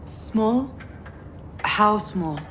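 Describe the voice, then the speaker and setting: Sardonic, animated woman. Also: mid-range voice, extremely slow, a little hiss or hum. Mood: shocked, distressed. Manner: sardonic, dramatic. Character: bitter, sardonic, overwhelmed woman screenwriter, troubled daughter. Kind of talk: visual novel voice acting with inner monologue.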